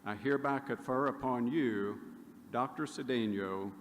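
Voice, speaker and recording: Male official, formal ceremony reading, measured, echoey hall. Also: mid-range voice. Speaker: university official. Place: large hall. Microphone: podium mic. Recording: echoey hall, faint noise.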